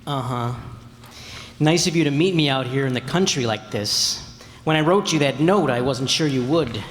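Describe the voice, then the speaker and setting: A man's voice: mid-range voice, normal speed, natural voice. A man playing a menacing, taunting killer who extorts women in an old-time radio crime drama. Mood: cool menace. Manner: taunting, threatening. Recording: echoey hall, background noise.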